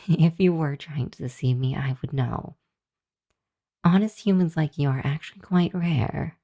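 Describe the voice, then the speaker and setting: Woman voicing a hesitant, low-pitched, slow-talking hellhound girl. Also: dark tone. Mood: sincere, grateful. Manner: hesitant, flustered, halting with sighs and nervous chuckles. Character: shy, earnest young female hellhound demon seeking shelter. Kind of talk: ASMR roleplay addressed to the listener.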